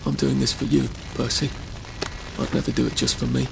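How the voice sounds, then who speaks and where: A man's voice: mid-range voice, flat delivery, brisk, gravelly, noisy background. An actor playing a wry, earnest young British man in a video game, muttering drily. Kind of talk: video game character acting.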